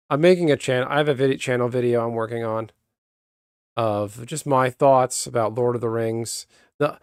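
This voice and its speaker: A man with a calm, even voice chats casually with his viewers. He rambles, restarts his sentences and talks straight to the chat. His voice sits at a middle pitch, close to the mic in a dry, dead room. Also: no room sound. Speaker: streamer. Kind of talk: casual live-stream chat.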